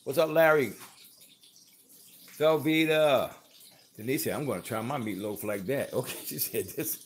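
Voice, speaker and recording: A man's voice: medium pitch, normal speed, a little hiss or hum. A man co-hosting a home cooking livestream from off camera in a kitchen, chatting casually and reading viewer comments aloud. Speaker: co-host reading live chat.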